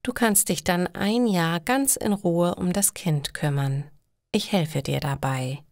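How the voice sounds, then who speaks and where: A caring middle-aged mother, voiced by a woman narrator, gentle and practical. Her storybook lines are slow and encouraging, recorded dry and close. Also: fairly low pitch, dry recording. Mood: reassuring. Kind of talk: acted dialogue in a slow learner's audio story.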